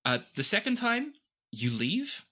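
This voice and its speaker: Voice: medium pitch, wildly swooping pitch, a little slow, sharp tone, breathy edge. A game master improvising narration for a tabletop game in a playful, descriptive storytelling way.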